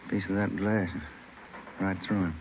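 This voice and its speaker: A plainspoken American police detective in an old radio crime play. He has a fairly low voice and talks in short, clipped lines over a tinny, heavily crackling broadcast. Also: little pitch movement, a little slow. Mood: alert, terse. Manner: clipped, laconic. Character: plainspoken male police detective, the partner on watch. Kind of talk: 1950s radio drama acting.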